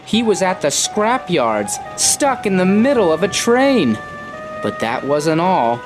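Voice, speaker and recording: A man's voice: sits high, sing-song, takes it slowly, over music. A man reading scripted storybook narration as the narrator of a children's tale.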